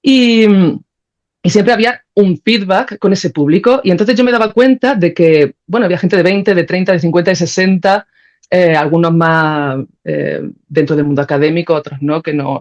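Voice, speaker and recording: A woman's voice: on the low side, normal speed, a little mellow. A woman with an accent from Spain talking reflectively and informally in an interview, thinking aloud and often checking in with little tag questions. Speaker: podcast guest, composer and philosopher. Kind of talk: spontaneous, reflective interview conversation.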